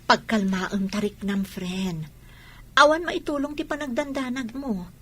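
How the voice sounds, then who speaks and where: A woman playing a gentle, comforting mother in a radio drama, speaking in a soothing, reassuring way. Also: a little high, a little slow, slightly rough. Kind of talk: Ilocano radio drama acting.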